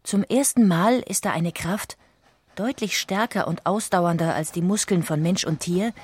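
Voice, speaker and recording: A woman's voice: lowish voice, unhurried, slightly rough. A woman reading a scripted documentary narration as an audiobook narrator.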